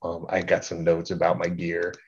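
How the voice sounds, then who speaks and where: A middle-aged man presents informally to a group in a fairly low voice. He speaks haltingly, often doubling back on words, with a self-deprecating manner. The sound is slightly dull and lo-fi, as on a video call. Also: completely flat delivery, breathy edge. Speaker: art group organizer giving a talk. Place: indoor room at home, on a video call.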